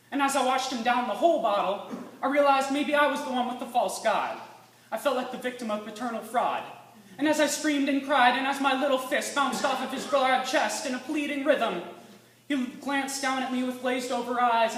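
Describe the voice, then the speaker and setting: A teenage boy reciting slam poetry dramatically, intense and emphatic, moving between hushed lines and forceful ones. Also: very high voice. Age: teens. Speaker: student slam poet. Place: live stage in a room with an audience. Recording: echoey room, distant mic, faint noise.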